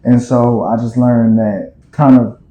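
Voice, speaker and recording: A man's voice: mid-range voice, level tone, unhurried, warm tone. A man hosting a casual interview chat, talking in a conversational way. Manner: conversational, with frequent affirming backchannels. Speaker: host. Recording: dull, roomy sound.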